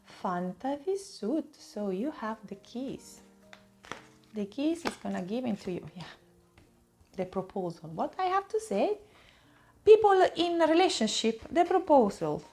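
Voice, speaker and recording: A woman's voice: on the high side, sing-song, measured pace, darkish tone, soft background music. A woman with an Italian accent, a native Italian speaker, giving an improvised tarot reading to viewers, searching for words and repeating phrases for emphasis. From Italy. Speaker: tarot reader, host.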